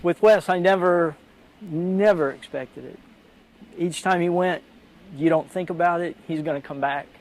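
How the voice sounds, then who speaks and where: A man's voice: a little high, measured pace, slight background noise, low-quality recording. A man speaking earnestly and personally as an interviewee. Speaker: interviewee, bereaved parent.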